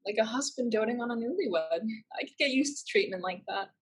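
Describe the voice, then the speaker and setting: Actress as young pirate woman, blunt and proud but conflicted, slightly high voice, stage play. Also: expressive, a little fast, natural voice. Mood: teasing, wry.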